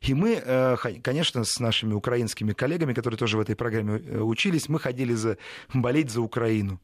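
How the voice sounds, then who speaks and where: A man's voice: mid-range voice, fast talker, no room sound. Rambling male journalist, dry close sound. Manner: rambling, digressive storytelling with frequent self-corrections. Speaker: journalist guest. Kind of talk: conversational interview recollection.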